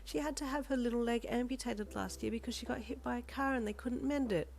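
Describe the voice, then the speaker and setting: Woman acting a motherly, cooing, higher-pitched lady. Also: expressive, brisk. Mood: tender. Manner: cooing, gentle, full of endearments. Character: motherly, doting, bubbly woman at a front desk.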